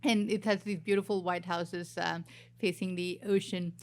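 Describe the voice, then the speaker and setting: A fairly low-pitched woman from southern India speaks on a conference stage panel. Her delivery is earnest and steady, with many small fillers as she introduces her career. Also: normal speed. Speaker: CFO panelist.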